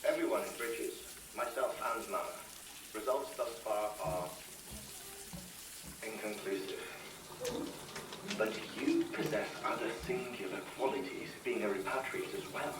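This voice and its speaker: A man's voice: on the low side, unhurried, pauses often, close to an everyday voice. An actor playing an erudite, reserved, curious male scientist with formal, precise speech in a video game cutscene. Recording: echoey, distant, noisy with running water.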